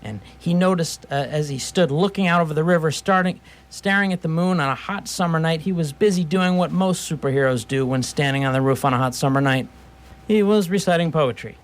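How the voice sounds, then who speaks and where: A man's voice: a little high, fairly quick. A man narrating a scripted radio theatre story in wry, wordy, literary phrasing.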